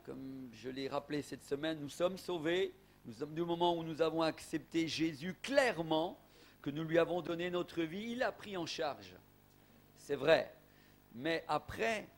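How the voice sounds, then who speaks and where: A man from Portugal preaching a sermon as an evangelist in a church, emphatic, with rising exclamations. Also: on the high side.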